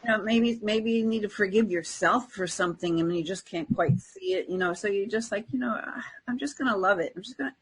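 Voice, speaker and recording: A woman with a strongly husky voice at a medium pitch speaks in an unscripted, conversational way. She sounds like a reflective spiritual teacher on a talk show, often acting out little bits of inner self-talk. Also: fairly quick, rough recording.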